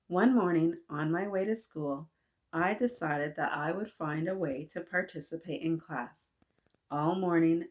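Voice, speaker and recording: A woman, reading a children's book aloud and gently pausing to talk with young listeners. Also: low voice. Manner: gentle, asks kids to reflect, frequent "right?". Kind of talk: read-aloud storytelling for kids. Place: indoors, small room with painted mural.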